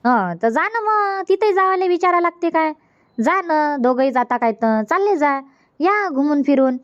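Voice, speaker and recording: Lady voicing a bossy middle-aged cartoon mom, varhadi accent, high-ish voice, dull dry sound. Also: animated, normal speed, no echo. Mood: permissive. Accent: Varhadi Marathi. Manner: bossy, instructive, scolding gently. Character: middle-aged mother, firm but caring. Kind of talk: cartoon comedy dubbing.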